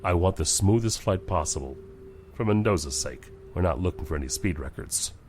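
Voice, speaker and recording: A low, expressive voice of a starship captain, played by a man, commanding yet weary and humane. It is science fiction audio drama, with clear background noise and ship ambience. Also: normal speed.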